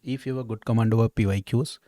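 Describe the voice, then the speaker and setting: Indian guy teaching exam stuff, keeps checking in, mid pitched voice, very dry sound. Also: steady tone, fast, warm tone, no echo. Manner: didactic, often checking with "okay" and "right". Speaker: history teacher at exam coaching institute. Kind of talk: explanatory exam discussion.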